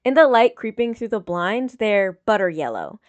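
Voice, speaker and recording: A woman reading dramatic fiction as a scripted narration, with measured, solemn phrasing. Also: medium pitch, sing-song, muffled recording. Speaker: audiobook reader of fan fiction.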